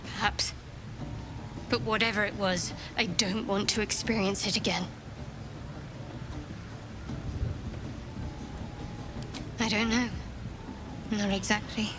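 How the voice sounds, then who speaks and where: A woman playing a guarded, secretive young cleric in a video game, speaking in a reserved, measured, dryly wry way. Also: mid-range voice, fairly even intonation, background noise, lightly acted. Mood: guarded, uneasy. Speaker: video game companion character. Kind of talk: dramatic game dialogue.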